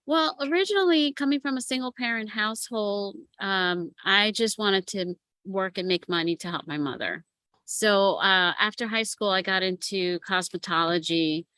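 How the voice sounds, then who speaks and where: Bright-voiced woman in her fifties. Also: mid-range voice, moderate pace, no echo. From United States. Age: 50s. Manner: lively storyteller who laughs often. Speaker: guest, matchmaker and relationship coach. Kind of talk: casual interview chat.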